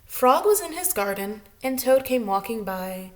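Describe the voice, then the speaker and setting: Woman preschool teacher for children's story time: higher-pitched, very slow, addressing little listeners directly. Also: very animated. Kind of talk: children's storybook narration and greeting.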